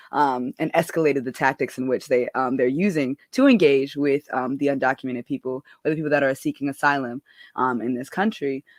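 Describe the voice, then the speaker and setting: A woman's voice: rumbling low, no room sound. A woman hosting a podcast, talking in a chatty, upbeat way with many fillers over a remote call. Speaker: podcast host. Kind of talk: casual podcast chat.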